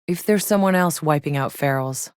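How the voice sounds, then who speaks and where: A woman's voice: low voice, normal speed, clear, resonant tone, no room sound, studio quality, close to an everyday voice. An actress playing a tough, sardonic female soldier and explorer in a sci-fi video game, blunt and matter-of-fact. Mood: grave, reflective. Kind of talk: scripted video game character voice lines.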